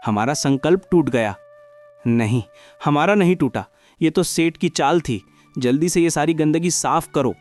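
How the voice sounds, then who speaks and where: अभिनेता बना परेशान, ईमानदार गरीब आदमी, ऊँचा सुर. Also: male voice, a little high, measured pace, a little mellow, no room sound, soft background music, close to an everyday voice. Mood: dismayed. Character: earnest, honest poor male eatery owner. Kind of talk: Hindi animated moral story acting.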